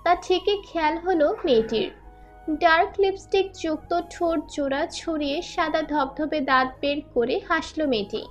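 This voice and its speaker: A woman with a very high voice narrates a romantic story from a script. Faint music plays underneath her narration. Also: fairly even intonation, normal speed.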